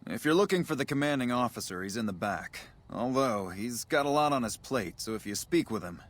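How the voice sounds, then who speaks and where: A man's voice: on the low side, fairly bright tone. A man voicing a weary, matter-of-fact army major stationed at a desert border outpost in a video game. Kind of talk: video game dialogue acting.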